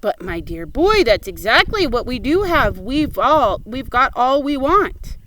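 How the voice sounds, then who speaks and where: A woman's voice: high-pitched, sing-song, measured pace, a bit bright, slight background noise, pitched above the performer's own voice, full character voice, poor audio. A woman doing a kindly old grasshopper. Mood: earnest.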